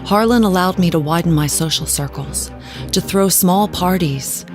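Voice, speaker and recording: An actress playing a haunted, genteel wife who tells her story in a measured, dramatic way in an audio drama. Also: lowish voice, over music, natural voice. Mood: confessional. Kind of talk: scripted audio drama narration.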